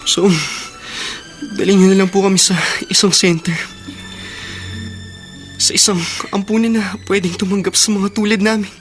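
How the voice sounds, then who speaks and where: A man portrays a sad orphaned Filipino boy in a radio drama. The voice is high, deferential and pleading. Music plays clearly behind it. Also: flat delivery, moderate pace, long pauses, lightly acted. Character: sad, polite, self-sacrificing orphaned boy.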